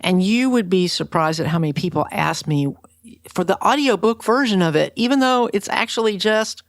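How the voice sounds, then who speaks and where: A woman's voice: medium pitch, expressive, moderate pace, no room sound, studio quality. A woman hosting a podcast interview in a casual, plainspoken, informal way.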